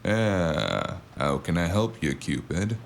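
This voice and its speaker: A man playing a sardonic, world-weary cyberpunk investigator in an audio drama, narrating in first person with a gravelly, wry delivery. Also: low voice, sing-song, takes it slowly, a little hiss or hum, full character voice. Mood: guarded, tired. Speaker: narrator and lead character.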